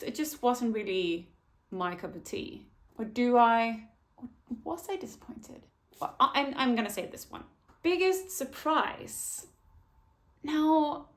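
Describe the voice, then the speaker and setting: A woman chatting casually to camera about her reading year, often hesitating and restarting her sentences. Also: medium pitch. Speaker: book vlogger. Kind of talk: casual book talk to camera.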